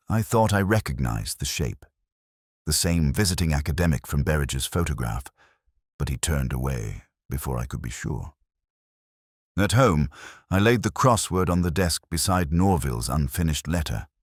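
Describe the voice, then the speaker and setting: A man playing a brooding, world-weary older detective, narrating a mystery in a measured, melancholy, literary way with a husky voice. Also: rumbling low, dry recording, studio quality, full character voice. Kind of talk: scripted first-person mystery narration.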